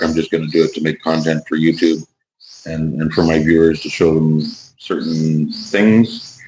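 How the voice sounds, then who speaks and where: A hoarse man chatting casually on his vlog as a YouTuber and car builder. He rambles in an easygoing way, restarting his sentences, into a wireless clip-on mic. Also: very deep voice, darkish tone, rough recording.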